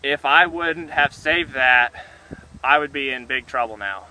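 A man's voice: medium pitch, unhurried, bright, forceful tone, rough recording. A young man, a farmer, talking earnestly to the camera outdoors by a pasture, repeating words as he goes. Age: young adult. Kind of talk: casual talk to camera. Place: outdoors by a pasture fence under a tree.

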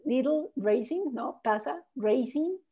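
A Mexican-accented woman guides listeners through her home region over a video call. Her voice sits a little high but sounds mellow and soft. She explains patiently and finishes phrases with a questioning "no?". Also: lively intonation, measured pace, slightly rough. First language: Spanish. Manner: friendly, explanatory, tags phrases with "no?". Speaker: local tour guide. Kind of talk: answering questions on a virtual tour.

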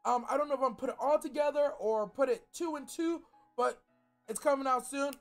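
A young man hosting a reaction show in casual, joking, animated commentary. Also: extremely high pitch, brisk, faint music behind. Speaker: reaction channel host. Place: small furnished game room indoors.

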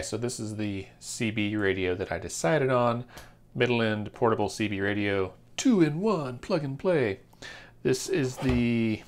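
An American man in his thirties or forties giving a casual, informal unboxing commentary, thinking aloud as he goes. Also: mid-range voice. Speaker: product reviewer. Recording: clear background noise, handling clicks.

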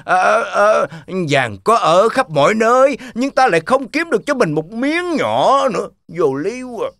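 A man voicing a greedy, scheming, grumbling wolf in a children's cartoon fairy tale, with whiny, drawn-out muttering. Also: high voice, big pitch swings, vocal fry, fairly bright tone, dry recording, heavily acted. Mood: scheming, flustered.

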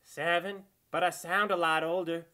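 A woman's voice: on the low side, steady tone, moderate pace, bright, forceful tone. A woman voicing a chatty, forward, bluntly sweet female puppet in a sock puppet parody. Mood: sweetly forward.

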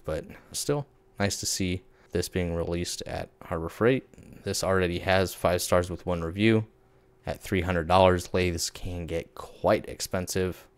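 A young man giving a casual rundown of new tools, relaxed and plain-spoken, with frequent filler words. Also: deep voice, clean tone, no echo. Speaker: tool reviewer. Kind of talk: casual screen-share product rundown.

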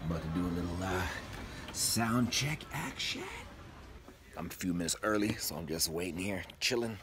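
A man's voice: deep voice, normal speed, music in the background. Laid-back man vlogging on his phone. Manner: relaxed, self-mocking, chatty. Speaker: comedian vlogger. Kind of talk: casual vlog. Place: cruise ship cabin and theater. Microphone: phone held at arm's length.

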